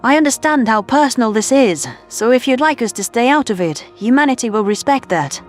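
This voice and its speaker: A woman's voice: a little high, expressive, a little fast, soft background music, natural voice. A woman voicing a considerate female human scientist in a science fiction audio drama, tactful and reassuring. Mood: sympathetic, tactful.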